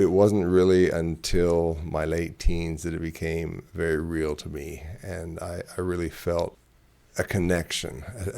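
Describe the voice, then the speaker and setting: Deep gravelly man telling his story. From Zimbabwe. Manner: hesitant, repeats words, soft chuckles. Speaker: ministry director, guest. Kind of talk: relaxed interview storytelling.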